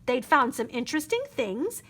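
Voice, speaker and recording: A woman's voice: high voice, big pitch swings, moderate pace, a bit bright, husky. A woman reading a picture book aloud expressively as a children's librarian at storytime.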